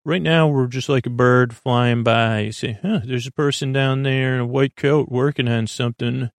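A man's voice: mid-range voice, steady tone, moderate pace, no room sound. A middle-aged man hosting a sleep podcast, meandering through tangents with many uhs and restarts. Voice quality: creaky. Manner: meandering, self-interrupting, lots of uhs and restarts. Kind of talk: rambling bedtime monologue.

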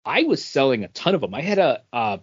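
A man's voice: mid-range voice, big pitch swings, brisk, breathy edge, no room sound. A man in his forties talks casually, as a co-host does on a podcast. He rambles and doubles back over his phrases. The recording is very dry and a bit muffled. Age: 40s. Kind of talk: casual chat, beer review.

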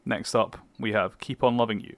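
A man's voice: fairly low pitch, fairly even intonation, brisk, no room sound. A young man co-hosting a podcast, chatting casually about music, swearing freely and explaining things at length. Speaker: podcast co-host. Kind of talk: casual chat.

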